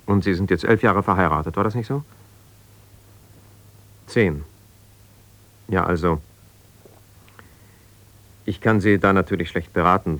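An actor playing an ambitious, self-justifying lawyer in a German radio drama, speaking haltingly and conversationally as if on the phone. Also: male voice, low-pitched, a bit bright, no room sound. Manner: conversational, halting, with repeated phrases and pauses.

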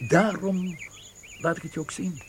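A man's voice: sits high, steady tone, moderate pace, slight background noise, natural voice. An actor playing a genial, knowing, well-connected military man in a Dutch radio play.

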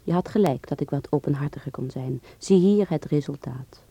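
A woman's voice: sits low, brisk, mellow, slightly rough, no room sound. An actress playing a woman with a Dutch accent who reads out an intimate letter in a radio drama. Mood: subdued, confiding.

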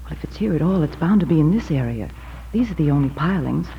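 A woman's voice: low-pitched, animated, fast talker, vocal fry, dull audio. An actress playing a plucky, loyal young woman with a wry edge in a 1940s radio drama. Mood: alert. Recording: noisy, band-limited 1940s radio recording.

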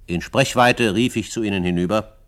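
An actor playing a self-assured frontiersman who narrates his own adventures in a radio drama, in a measured storytelling manner. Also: fairly low pitch, fairly bright tone, lightly acted. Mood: assured, suspenseful. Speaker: first-person narrator.

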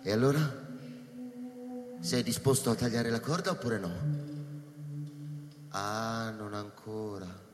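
An actor playing a cynical, weary space-station scientist in a radio drama, speaking sardonically and haltingly with dry pauses. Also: male voice, on the low side, steady tone, background noise. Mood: provoking, resigned. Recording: reverberant hall with music behind.

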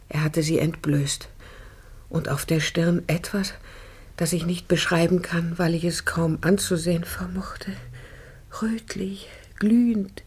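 A woman acting in a radio drama as a frightened, innocent, grieving daughter, breathy, pleading and tearful. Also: on the low side, a little mellow, exaggerated voice.

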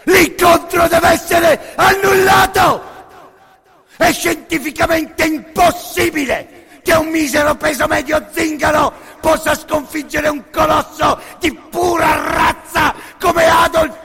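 A man's voice: very high voice, fairly even intonation, takes it slowly, creaky, sharp tone, shouting, some chatter behind, voice pushed up, full character voice. A man voicing a fanatical, pompous Nazi official in a radio play, barking outraged proclamations. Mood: furious, shouting. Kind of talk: theatrical radio drama monologue. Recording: very reverberant hall.

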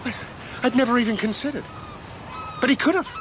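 An actor playing an earnest male doctor turned amateur investigator in a radio drama, urgent and halting as he questions. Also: very high-pitched, background noise. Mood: dawning alarm.

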